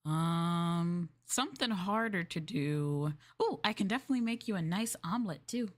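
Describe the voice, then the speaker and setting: A young woman streamer in a maid roleplay, chatting in a polite, formal way, giggling and dropping into soft asides. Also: fairly low pitch, lively intonation, moderate pace. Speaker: VTuber streamer.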